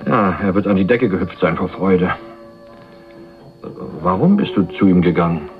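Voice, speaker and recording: A man's voice: sits low, moderate pace, narrow, tinny audio, soft background music, natural voice. An actor playing a wary young man with a dry, ironic, guarded manner in a radio crime drama. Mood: dry irony. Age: young adult. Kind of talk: 1980s German radio crime drama acting.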